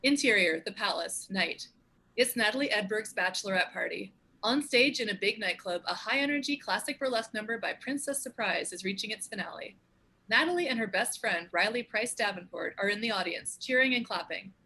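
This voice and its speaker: A woman with a husky voice reading scripted stage directions as the narrator of a table read. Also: mid-range voice, lively intonation, fairly quick, sharp tone. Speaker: narrator and show co-creator.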